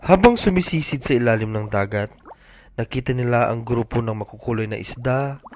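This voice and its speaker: A man's voice: mid-range voice, fairly quick. A man narrates a children's picture book in a mid-range voice. There is faint noise behind his read-aloud storytelling. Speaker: storybook reader.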